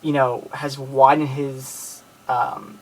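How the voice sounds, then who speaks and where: A man's voice: mid-range voice, flat delivery, takes it slowly, poor audio. Rambling, friendly man in his late twenties. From outside Philadelphia, Pennsylvania. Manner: rambling, many fillers and restarts; grateful, friendly. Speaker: YouTube movie collector. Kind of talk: casual talk to camera.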